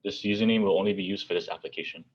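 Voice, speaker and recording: Male tutorial narrator, deep voice, plain instructional read, slightly muffled recording. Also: fairly even intonation, very fast, husky. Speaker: college outreach presenter. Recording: dull, muffled lo-fi audio.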